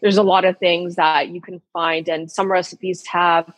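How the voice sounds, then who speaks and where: A woman's voice: fairly low pitch, level tone, measured pace, no echo. A woman talking casually as an interview guest, a cookbook author answering questions in a conversational way.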